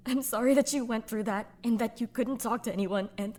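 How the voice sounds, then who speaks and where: A woman voicing a blunt but caring young woman in a fan audio drama, speaking frankly and gently, with the odd swear. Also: a little high, a little fast, lightly acted. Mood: consoling.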